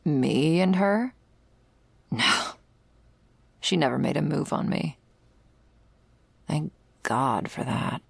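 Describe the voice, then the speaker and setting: Woman acting a very gravelly, sardonic vampire's servant. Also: low voice, slow, pauses often, no echo, heavily acted. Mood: sardonic, disgusted. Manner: dry, deadpan, sarcastic, foul-mouthed. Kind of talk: intimate ASMR audio roleplay. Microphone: close, dry microphone.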